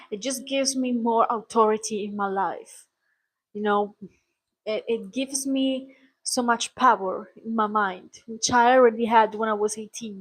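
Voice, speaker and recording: Woman, mid-twenties, slightly high voice, vlog monologue, rambling, earnest, frequent restarts. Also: low-quality recording. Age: 20s. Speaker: vlogger.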